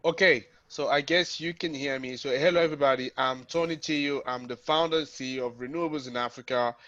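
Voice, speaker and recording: A man's voice: mid-range voice, fairly even intonation, fairly quick, fairly bright tone, no room sound. A man giving an informal webinar presentation as a company founder, repeating words and checking in as he goes. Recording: dull, lo-fi online call audio.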